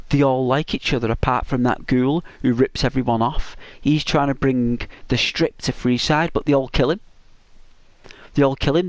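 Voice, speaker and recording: A man hosting a gaming channel, chatting casually and rambling to his viewers in a croaky, hoarse voice. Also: medium pitch, no room sound. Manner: rambling, talks straight to viewers.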